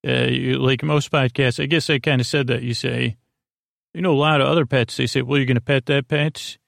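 A male podcast host with a gravelly, fry-heavy voice at a middling pitch. He talks in a meandering, halting bedtime monologue, circling back and repeating himself as he wanders off topic. The sound is very dry, with no room around it. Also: steady tone, a bit bright, no room sound. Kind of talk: rambling bedtime podcast intro, casual monologue.

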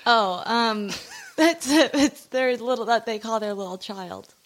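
A woman's voice: fairly high pitch, takes it slowly. A woman chatting playfully as a guest on a comedy podcast, with a giggly, silly way of talking. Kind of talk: playful chat with improvised singing.

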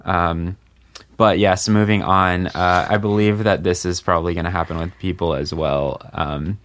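A man's voice: low-pitched, steady tone, moderate pace, a bit bright, no room sound. A man hosting a podcast, chatting casually and jokingly with many fillers. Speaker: podcast host. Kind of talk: casual group chat.

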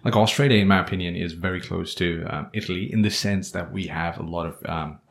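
Low-voiced man with a Nepali accent. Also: steady tone, creaky, slight background noise. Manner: thoughtful, many pauses with um and uh. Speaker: podcast guest. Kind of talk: casual chat.

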